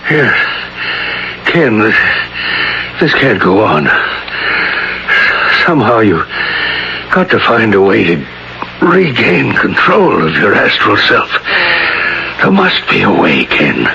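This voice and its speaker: An earnest, anxious doctor speaks in urgent bursts with wildly swinging pitch and a gravelly edge. It sounds like dull, noisy vintage radio drama. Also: male voice, big pitch swings, exaggerated voice. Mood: shaken, pleading. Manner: halting, urgent. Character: alarmed, earnest college doctor, loyal male friend. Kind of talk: 1970s radio drama acting.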